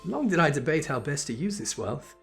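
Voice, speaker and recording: A man voicing a gracious, remorseful young female sultana from a game, in formal, measured, courtly phrasing. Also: on the high side, sing-song, gravelly, fairly bright tone, heavily acted. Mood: earnest, reflective. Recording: music behind the voice.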